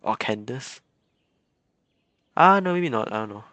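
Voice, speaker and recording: A man's voice: mid-range voice, animated, a little slow, a bit bright, no echo. Man livestreaming a game, rambling. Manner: rambling, hesitant, thinks aloud. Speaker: game streamer. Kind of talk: casual livestream commentary.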